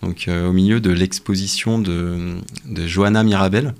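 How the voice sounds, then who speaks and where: A young man speaking as a researcher guest in a casual radio interview, explaining things in a halting way with frequent pauses. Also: sits low, steady tone, creaky, a little mellow. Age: young adult.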